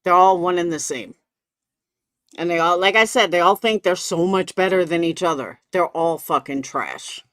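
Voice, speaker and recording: A woman's voice: fairly low pitch, moderate pace, fairly bright tone, dry recording. Woman streamer with a dry, close sound. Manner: blunt, sweary, gossipy. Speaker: commentary streamer. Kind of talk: casual live reaction commentary.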